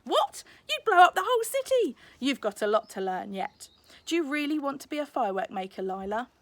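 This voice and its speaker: A stern storybook father acted out by a woman reader, blustering and fretting over his child. The pitch sits on the high side, and the sound is dry and close. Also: sing-song, brisk, a bit bright, dry recording. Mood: exasperated, alarmed, then dismissive, challenging. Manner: blustering, exclaiming. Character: Lackland, stern fretful father, master firework maker.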